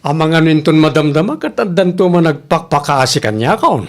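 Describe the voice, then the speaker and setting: A man's voice: fairly high pitch, animated, a little fast. A man playing a sheepish young ex-boyfriend in an Ilocano comedy drama, theatrical and pleading. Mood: put out, protesting.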